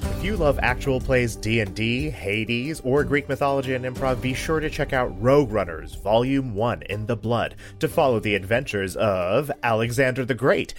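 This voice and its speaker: A man narrates a scripted trailer in a medium-pitched voice. His intonation is very lively, with faint music underneath. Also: moderate pace, few pauses. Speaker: promo narrator.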